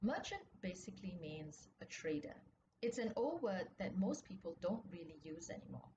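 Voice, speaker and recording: A woman reads and explains a storybook for young listeners in an expressive, explanatory manner. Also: on the low side. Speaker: author reading her children's book. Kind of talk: children's storybook reading and explanation. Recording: clearly noisy background ambience.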